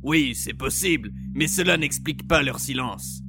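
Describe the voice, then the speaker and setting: A man playing a big, commanding male mercenary chief in a science-fiction audiobook, with a brisk manner. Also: medium pitch, lively intonation, over music, natural voice. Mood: worried.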